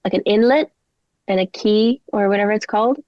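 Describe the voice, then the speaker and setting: A woman's voice: mid-range voice, fairly quick, no echo, lo-fi sound. A young woman with a mix of Canadian and English accent, a singer chatting as a guest in a rambling, casual way. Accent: mixed Canadian and English. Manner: chatty, rambling, lots of likes and ums. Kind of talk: casual interview chat.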